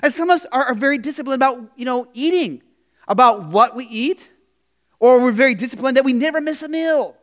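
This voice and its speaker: A man's voice: very high-pitched, expressive, brisk. A male pastor giving a sermon in a conversational way, often saying "you know", restarting phrases and asking the congregation questions.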